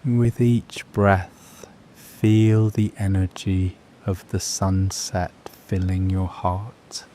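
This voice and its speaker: A man guiding a scripted meditation in a soothing, gentle, measured way, leaving long pauses between phrases. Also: deep voice, level tone, a little hiss or hum. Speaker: meditation guide.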